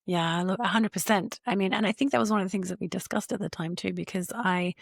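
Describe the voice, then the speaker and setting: A woman's voice: mid-range voice, steady tone, fast, vocal fry, breathy edge, dry recording, studio quality. A woman in her forties hosting a podcast interview, speaking in a thoughtful, reflective way. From Australia. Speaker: podcast host, CEO.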